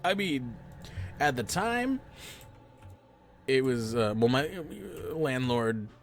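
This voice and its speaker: A man hosting a podcast, chatting casually while gaming, rambling and self-mocking, with a hoarse, stuffy voice from losing it. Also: medium pitch, big pitch swings, takes it slowly. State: losing his voice, stuffy sinuses. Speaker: podcast host.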